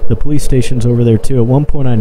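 A man's voice: medium pitch, steady tone, very fast, noisy background. A man giving a casual, rambling, unscripted voice-over as an exploration vlogger. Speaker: urban exploration vlogger, narrator.

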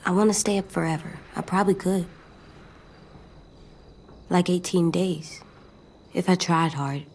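A woman playing a solemn, stubborn, anxious little boy survivor in a video game drama, speaking in a quiet, earnest, guarded way. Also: fairly low pitch, fairly even intonation, unhurried, a little hiss or hum.

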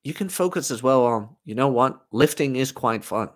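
A man's voice: medium pitch, expressive, normal speed. A man in his thirties giving a casual, informal livestream monologue as a fitness coach, rambling and emphatic. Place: small room at home, indoors.

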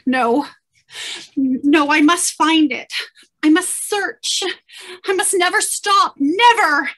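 Old-time radio scientist, aged and weary, played by a woman: high, slow, theatrical, distraught. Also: fairly bright tone. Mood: anguished. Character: aged, anguished, weary last human scientist. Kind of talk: 1930s radio drama acting. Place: indoors at home on a video call.

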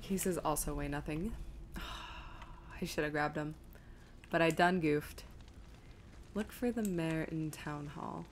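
A woman's voice: deep voice, takes it slowly, pauses often, slight background noise. A woman streaming a game, giving casual, self-deprecating commentary as she rambles and thinks aloud. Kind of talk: casual live gameplay commentary, reading chat aloud.

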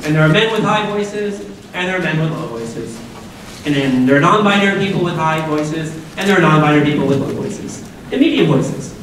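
A woman in her forties with a deep voice, an upbeat and chatty speaker who keeps asking the students questions. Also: lively intonation, a little fast, a little hiss or hum. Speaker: guest speaker at a school assembly. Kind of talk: lively talk to students. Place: large school auditorium. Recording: echoey auditorium from far off.

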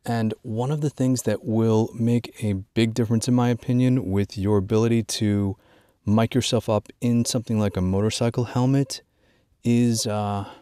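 Man, low voice, informal tutorial presenter, measured emphasis, dry close recording. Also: steady tone, dark tone, smooth, no echo. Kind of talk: informal instructional product walkthrough.

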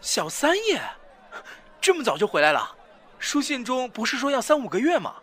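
A man doing a high, bouncy polite shop steward. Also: huge pitch swings, moderate pace, a bit bright, slight background noise, heavily acted. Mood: deferential. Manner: courteous, eager to please. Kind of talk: fan audio drama acting.

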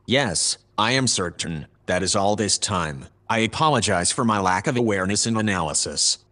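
An actor playing a polite, precise AI observer in an audio drama, speaking in a formal, measured way. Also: male voice, fairly low pitch, animated, pushed and bright. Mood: calm, formal. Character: polite, precise male AI observer aboard a spaceship.

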